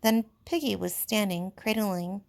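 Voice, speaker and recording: A woman reading a novel aloud in expressive, measured storytelling narration, like a teacher. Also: medium pitch, gravelly. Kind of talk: audiobook-style read-aloud narration of a novel.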